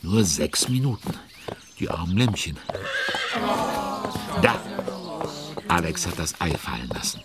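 A man narrating a children's audio drama as a genial storyteller, expressive and chatty with young listeners. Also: low-pitched, measured pace, long pauses, very noisy. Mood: excited.

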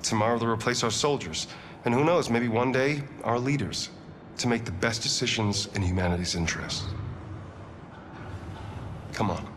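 A man playing a self-assured tech founder in his thirties in a game, measured and explanatory, recorded with ambient noise. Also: mid-range voice, close to an everyday voice. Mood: confident, explanatory. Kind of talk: scripted game interview. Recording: clear ambient noise behind voice.

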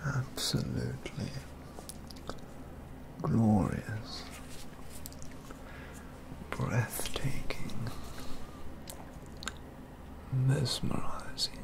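A young man acting as a pompous, rapturous painter of collectible models in an ASMR roleplay. He whispers very slowly with heavy creak, close to the microphone. His ornate phrases are halting and deliberate, full of fussy reverence. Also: mid-range voice, heavily acted, low-quality recording. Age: 20s. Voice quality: breathy whisper. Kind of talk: soft-spoken ASMR roleplay. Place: indoor studio with plain backdrop.

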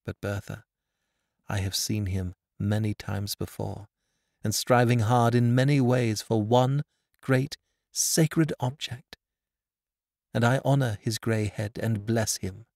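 A man voicing a kind young wife who speaks low and softly. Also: fairly even intonation, takes it slowly, creaky, no echo, studio quality. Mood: tender. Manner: low, soft and tender.

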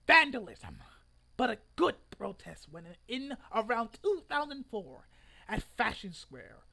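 A young man in his twenties performs a dramatic reading with an exaggerated, theatrical delivery. His pitch moves in lively swings and his tone is bright and forceful. He is recorded in a room at home. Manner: theatrical, exaggerated delivery with sudden loud outbursts. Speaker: online video creator. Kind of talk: dramatic reading of a social media post.

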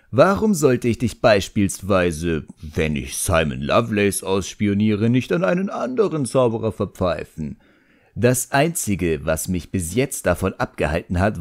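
A man voicing a sardonic, cunning ancient djinn who grumbles and mocks, in an audiobook. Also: low-pitched. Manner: sarcastic, grumbling. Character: sardonic, ancient male djinn, cunning, grudgingly obedient servant.

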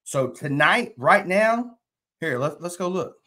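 A man's voice: on the high side, sing-song, takes it slowly, bright, forceful tone. A chatty man in his thirties with a drawl hosts a casual fishing live stream. He reads viewer comments aloud and talks back to them, recorded on a desk webcam in a plain indoor room. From Texas. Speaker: fishing channel host.

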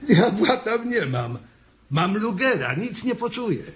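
A callous ranch hand in a radio drama. He is blunt and insistent, with a fairly high voice and very lively intonation. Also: measured pace.

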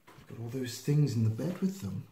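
A man reading a children's picture book aloud in an expressive, playful manner. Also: mid-range voice, vocal fry, a little hiss or hum. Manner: expressive, slow, with playful sound effects and asides. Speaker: storytime reader. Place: small indoor room, seen close to the camera. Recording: roomy, echoey home video sound.

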